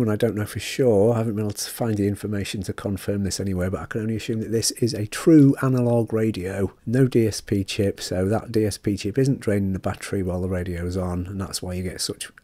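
A British man giving a casual, conversational product review in an informal, mellow voice. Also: fairly low pitch, no echo.

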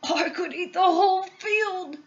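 A woman's voice: very high-pitched, a little slow, dull audio, voice pushed up. A woman voicing a delighted, enthusiastic old grandfather in a storybook reading. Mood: gleeful. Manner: gleeful, excited.

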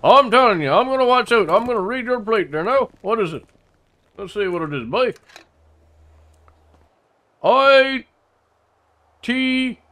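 A man doing a high, touchy roughneck. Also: lively intonation, unhurried, pauses often, bright, forceful tone, exaggerated voice. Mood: grumbling threats. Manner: blustering, swears constantly, tacks "boy" on every line. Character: touchy rough-spoken rural Newfoundland man. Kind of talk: comedy sketch acting.

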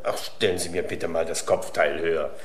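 An actor playing a grumbling, bedridden hospital patient, colloquial and grumpy, in a German radio crime play. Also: male voice, low voice, steady tone, a little fast, background noise, close to an everyday voice. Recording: roomy, echoing sound.

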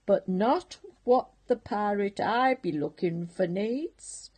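A woman voicing a gruff, stubborn male pirate captain in a children's storybook. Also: mid-range voice, animated, takes it slowly, darkish tone. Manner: growling, bossy.

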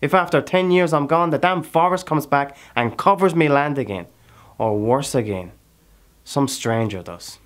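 A man with an Irish accent acting a dramatic monologue as a proud, brooding, restless settler who has killed, speaking deliberately with pauses. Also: low-pitched, steady tone, lightly acted. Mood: impassioned, anguished.

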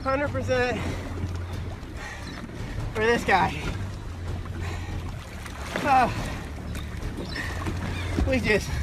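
A man talking casually and excitedly as host of a fishing vlog, outdoors on a boat at sea. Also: very high-pitched, extremely slow, pauses often, background noise. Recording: loud music over outdoor boat audio.

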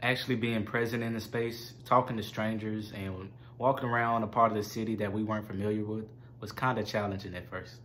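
Student testimonial; man from Memphis, measured and lowish. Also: flat delivery, fairly bright tone, a little hiss or hum. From Memphis, Tennessee. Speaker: student documentary maker. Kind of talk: reflective testimonial.

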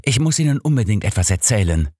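A man's voice: lowish voice, very animated, fast talker, warm tone, husky, dry recording, studio quality, pitched above the performer's own voice. A man voicing an earnest detective's companion. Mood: excited, proud. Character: earnest, excitable male doctor, the detective's loyal companion. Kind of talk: audiobook character dialogue.

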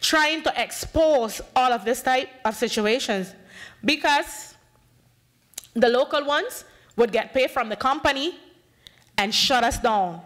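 High, passionate Bay Islands Honduran woman. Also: unhurried, pauses often, fairly bright tone. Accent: Bay Islands Honduran English. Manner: passionate, emphatic, gestures widely, acts out dialogue. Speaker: panel speaker, community activist. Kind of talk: impassioned conference talk. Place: conference room at a podium. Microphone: podium microphone.

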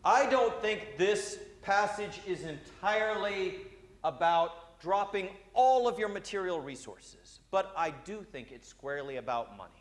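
High-voiced man preaching with uh fillers. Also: a little hiss or hum. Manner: conversational preaching with frequent "uh" fillers; gestures broadly while walking about. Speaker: priest. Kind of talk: sermon. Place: large church sanctuary.

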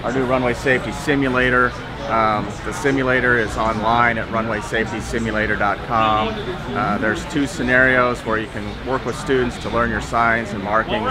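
A middle-aged American man giving an informal safety briefing as an official at an exhibit booth. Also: on the low side. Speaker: aviation safety official explaining runway safety. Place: exhibit booth in a large indoor hall. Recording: busy exhibit hall crowd noise.

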